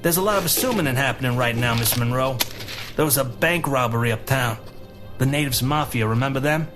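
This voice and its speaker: An actor playing a weary, wry 1940s police commissioner in an audio drama, dry and grumbling. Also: male voice, medium pitch, fairly quick, over music, close to an everyday voice. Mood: earnest. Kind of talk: naturalistic audio drama acting.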